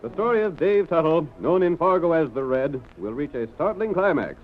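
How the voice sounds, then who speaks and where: A man's voice: on the high side, expressive, a little fast. A man with an American accent giving a dramatic scripted announcement in old-time radio style. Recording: thin, narrow-band vintage radio recording.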